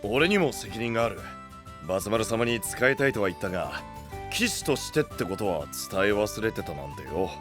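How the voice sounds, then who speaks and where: A man playing a rough, big-brotherly young knight who speaks in blunt slang in an anime-style audio drama. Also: fairly low pitch, very animated, normal speed, music in the background. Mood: earnest.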